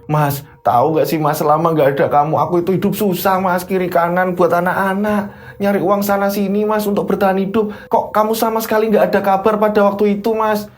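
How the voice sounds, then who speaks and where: A man's voice: high-pitched, fairly even intonation, fairly quick, voice pushed up, close to an everyday voice. A man voicing a long-suffering wife and mother with a plaintive, pleading manner in a dramatic story. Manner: plaintive, pleading, repeatedly addressing husband.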